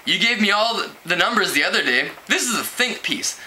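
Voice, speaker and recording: A man's voice: high-pitched, expressive, a little fast, pushed and bright, full character voice. A man reading a storybook aloud, voicing an eager, awkward teenage boy who politely improvises as a reporter. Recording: clearly noisy home recording.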